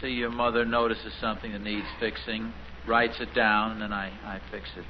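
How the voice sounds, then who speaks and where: An actor playing a middle-aged American suburban dad in a 1950s radio sitcom, proud, mildly defensive, with a hesitant, folksy, dry manner. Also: male voice, lowish voice, little pitch movement, normal speed, lightly acted. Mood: self-satisfied. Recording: muffled, noisy 1950s radio recording.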